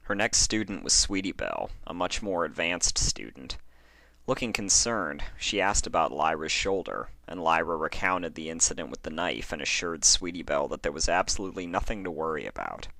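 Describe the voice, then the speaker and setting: A man with a low, forceful voice narrates a horror story. He phrases deliberately, leaving dramatic pauses between fragments. The recording is dry and close. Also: sing-song, vocal fry, dry recording. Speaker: fan fiction narrator.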